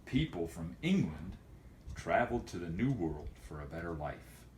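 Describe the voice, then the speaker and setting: A man speaking as a teacher and host of a homemade video for students, in a folksy, wordy, deliberate way. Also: deep voice, sing-song, reverberant, a little hiss or hum. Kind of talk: casual educational vlog for students, with read-aloud.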